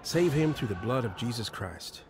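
An actor playing an earnest, folksy jailhouse preacher in a radio drama. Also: male voice, mid-range voice, lively intonation, moderate pace, noisy background, lightly acted. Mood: prayerful.

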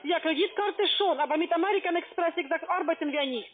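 High-voiced foreign-woman on phone. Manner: insistent, halting. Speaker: call centre agent. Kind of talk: phone sales pitch. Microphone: phone call. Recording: tinny narrow telephone line.

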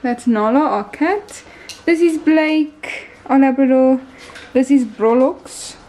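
A woman's voice: sits high, slow, warm tone, low-quality recording. A woman in her twenties chatting casually to viewers in an informal vlogging style. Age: 20s. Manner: informal, addressing viewers directly. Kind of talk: casual vlog chat and recipe voice-over. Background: music.

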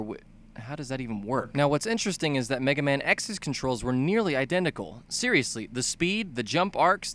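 A man doing excitable comedic video game commentary, emphatic and full of swearing. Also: mid-range voice. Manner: emphatic, sweary, bursts into shouting. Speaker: video essay narrator, fan dubbing a game review.

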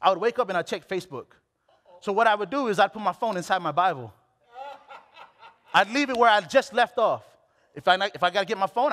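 A man in his late twenties from Hawaii, preaching a sermon on a church stage with a headset mic, animated and full of restarts. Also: very high-pitched. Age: late 20s. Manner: animated, exclamatory, with many restarts. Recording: long hall reverb.

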